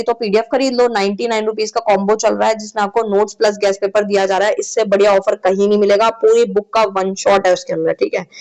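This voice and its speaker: A woman's voice: medium pitch, a little mellow, low-quality recording. Chatty woman teaching, slight sore throat. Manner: chatty, repeats "theek hai" often. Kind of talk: exam-prep lecture.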